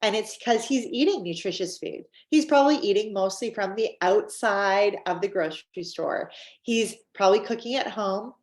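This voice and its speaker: A woman's voice: medium pitch, moderate pace, a little mellow. A middle-aged woman with a Canadian accent giving an upbeat, chatty wellness presentation, with frequent fillers. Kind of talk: scripted-feeling sales presentation. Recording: roomy echo.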